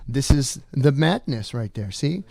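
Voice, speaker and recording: A man co-hosting a podcast, enthusiastic and jokey, chiming in with quick affirmations. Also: medium pitch, animated, creaky, a little mellow. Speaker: podcast co-host. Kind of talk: casual chat.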